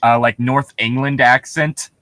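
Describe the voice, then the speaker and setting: A man's voice: medium pitch, steady tone, unhurried, sharp tone, no echo. A man hosting a comedic podcast in casual banter, swearing and sounding jokingly exasperated. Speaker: podcast host.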